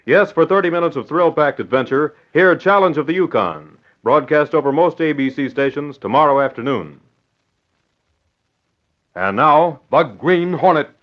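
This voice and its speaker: A man's voice: on the high side, lively intonation, normal speed. A man announcing and narrating in a theatrical, emphatic, formal 1940s radio style. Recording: dull old radio broadcast recording.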